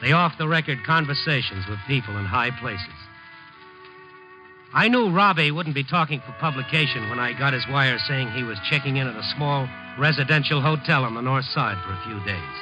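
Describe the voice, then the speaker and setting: An actor playing a wry, world-weary newspaper reporter in a 1950s radio drama, narrating and talking in a dry, wisecracking way. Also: male voice, mid-range voice, animated, normal speed, over music, close to an everyday voice. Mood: wry, reflective. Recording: muffled old 1950s radio broadcast.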